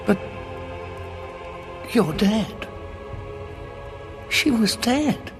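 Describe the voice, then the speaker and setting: An actor playing a weary, well-travelled renegade time traveller in an audio drama, husky, wry and measured as he probes with questions. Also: high voice, expressive, in a large hall, music in the background, heavily acted. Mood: stunned disbelief. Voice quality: very husky, raspy.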